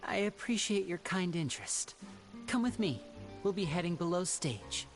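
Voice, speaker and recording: A man's voice: high voice, sing-song, moderate pace. A man playing a courteous, theatrical young male stage magician in a game. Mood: suave. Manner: smooth, courteous, teasing. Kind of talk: game cutscene voice acting. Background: game music.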